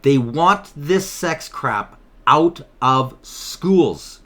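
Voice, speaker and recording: A man's voice: a little high, animated, extremely slow, a bit bright. A man commenting over protest footage in an emphatic, indignant way. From Canada.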